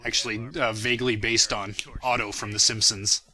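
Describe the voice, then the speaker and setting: A man's voice: fairly low pitch, little pitch movement, moderate pace, sharp tone. A young man giving a casual, rambling and self-deprecating commentary on his own work. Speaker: creator of a fan parody series, commentator.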